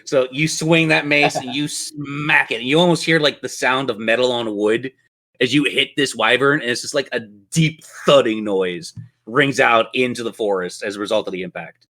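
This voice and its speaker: Bright-voiced man running a tabletop game. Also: medium pitch, moderate pace. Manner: vivid, playful descriptions. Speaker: game master. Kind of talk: narrating a tabletop fantasy game.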